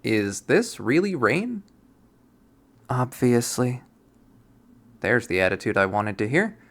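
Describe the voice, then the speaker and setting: A narrator reading a visual novel aloud, quiet and measured, softly voicing the characters. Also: medium pitch, big pitch swings.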